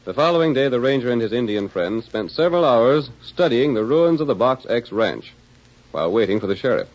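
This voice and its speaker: A man's voice: medium pitch, sing-song, a little fast. A man narrating an old-time radio western drama as a scripted storyteller. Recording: dull vintage radio recording. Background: music.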